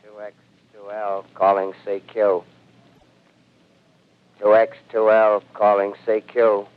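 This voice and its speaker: A man's voice: fairly low pitch, monotone, slow, smooth. An actor playing a lone male radio operator in a 1930s radio drama, calling out clipped, repeated call signs. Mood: desperate. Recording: tinny phone-like 1930s radio line.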